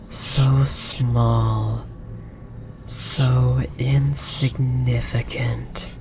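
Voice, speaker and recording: A performer voicing an ancient forest god in a roleplay audio drama, solemn and condescending, with a breathy, whispery voice and archaic formal phrasing. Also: mid-range voice. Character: ominous ancient forest god, possessive, condescending, gently menacing. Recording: noisy, layered forest ambience and effects. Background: forest ambience.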